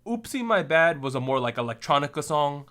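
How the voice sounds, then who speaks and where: A man hosting a casual podcast chat, relaxed and joking as he steers the discussion. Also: a little high, fairly quick. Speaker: podcast host.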